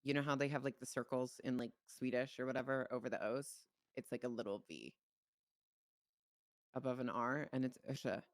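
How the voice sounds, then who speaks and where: A man who grew up speaking Czech chats casually during game roleplay. His voice sits at a middle pitch and he is animated and informal, swearing freely. The sound is very dry, with no room on it. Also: normal speed, no echo. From Czech Republic. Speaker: streamer playing a dispatcher in online roleplay.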